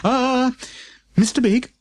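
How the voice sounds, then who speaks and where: A man's voice: very high voice, fairly even intonation, takes it slowly, voice pushed up, full character voice. A man voicing a timid, polite, helpful postman with a small, hesitant voice in a children's audiobook. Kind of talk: audiobook character acting.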